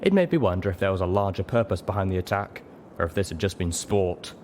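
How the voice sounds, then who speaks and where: A man with a low voice narrates a first-person story. He reads from a script as an audiobook narrator, and a faint ambient noise sits behind his voice. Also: very animated, quick pace, a little mellow. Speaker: audiobook reader.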